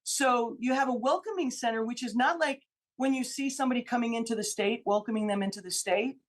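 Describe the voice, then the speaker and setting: A woman's voice: fairly high pitch, brisk. A woman who is a state senator, arguing her case emphatically on a radio interview and repeating key numbers for stress. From Illinois. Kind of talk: radio interview, political argument.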